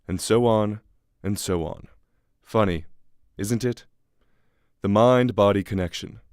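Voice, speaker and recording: Radio play narrator: male, deliberate, philosophical, lowish register, dry close sound. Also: clean tone, dry recording. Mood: musing, intriguing. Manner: deliberate, with dramatic pauses. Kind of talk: scripted dramatic narration.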